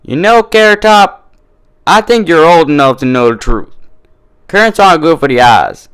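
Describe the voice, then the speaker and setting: A man's voice: on the high side, sing-song, normal speed, fairly bright tone, smooth, voice pushed up. A man voicing a stubborn, teasing country mare farmer with an American Southern drawl, blunt and folksy, in a cartoon fan-fiction reading. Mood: feisty rivalry.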